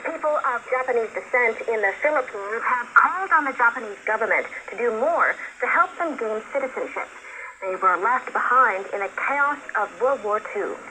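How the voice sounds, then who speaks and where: A woman news anchor reading scripted international news on a noisy shortwave radio broadcast. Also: a little high. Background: clear radio static.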